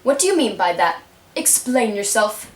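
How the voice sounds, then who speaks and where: A woman voicing a haughty, curt male caterpillar in a puppet show, with drawn-out, imperious questions. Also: mid-range voice, sing-song, lightly acted. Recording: roomy, distant home microphone.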